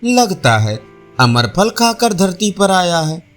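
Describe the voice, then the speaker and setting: A resentful village daughter-in-law, put on by a male storyteller, full of scorn. The complaint comes slowly with big swings of tone, in audio storybook style over faint music. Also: high voice, big pitch swings, fairly bright tone, exaggerated voice. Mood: bitter.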